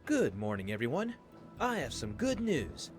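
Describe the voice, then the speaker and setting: Man acting a teasing, very animated professor. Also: medium pitch, normal speed, soft background music. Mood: upbeat teasing. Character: cheerful male professor teasing his class. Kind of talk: visual novel game voice acting.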